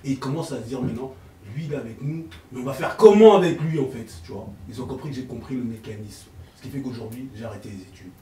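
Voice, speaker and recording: A man's voice: mid-range voice, quick pace, dark tone. A man on a debate panel, explaining and arguing casually, often checking that listeners follow. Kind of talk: heated casual group debate. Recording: roomy, distant mic with background noise.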